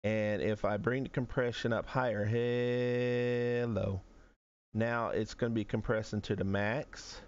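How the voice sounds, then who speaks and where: A man's voice: mid-range voice, little pitch movement, measured pace, clear, resonant tone, lo-fi sound. A man streaming from home, casually walking through his audio settings at his desk microphone, talking them through aloud with frequent restarts. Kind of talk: casual screen-share tutorial.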